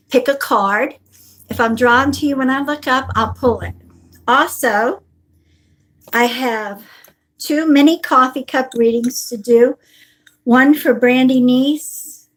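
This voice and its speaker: Woman psychic hosting a live stream, high voice, slow delivery, congested from a cold. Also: poor audio. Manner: slow, warm greetings, calls viewers honey and sweetheart. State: stuffy with a cold. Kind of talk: live stream greeting viewers. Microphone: device on a table.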